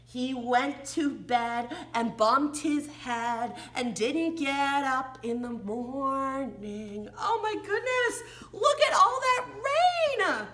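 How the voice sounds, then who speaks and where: American woman, children's storytime teacher: high, swooping voice, unhurried pace, playful sing-song reading. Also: animated, fairly bright tone, slight background noise. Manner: exaggerated, playful delivery with gasps and questions to small children.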